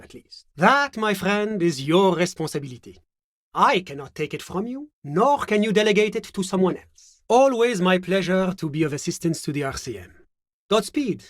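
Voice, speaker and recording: An urbane French-accented government bureaucrat from a video game. He speaks in a fairly high male voice, captured dry and close. His manner is courteous, evasive and pompous, with measured phrasing and small questioning hums. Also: no room sound. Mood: coy, evasive, then breezy, polite. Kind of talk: theatrical game character dialogue.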